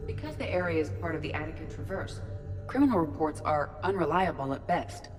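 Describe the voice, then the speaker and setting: Calm, precise female ship AI. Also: sits low, faint music behind. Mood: measured, matter-of-fact. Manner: measured, formal, analytical. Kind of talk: video game dialogue.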